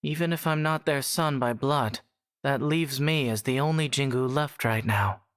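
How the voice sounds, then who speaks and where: A man playing a sombre, worried young man in an anime game, speaking in a measured manner. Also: medium pitch, fairly bright tone, clean tone, close to an everyday voice. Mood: troubled.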